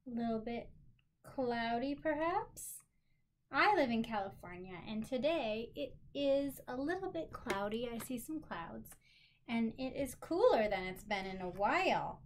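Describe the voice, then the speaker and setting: A woman's voice: fairly high pitch, fairly bright tone, a little hiss or hum. A woman teaching children in an encouraging, simply worded way, like a teacher leading a lesson and read-aloud. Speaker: special education teacher.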